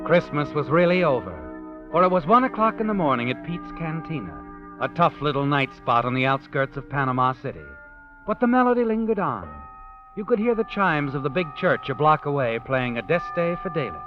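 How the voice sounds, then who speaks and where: An actor playing a knowing, ominous storyteller, narrating a 1940s radio suspense play in a theatrical, insinuating voice. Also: male voice, a little high, sing-song, fairly quick. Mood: brooding. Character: ominous, knowing male storyteller who walks by night. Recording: muffled 1940s radio, music behind.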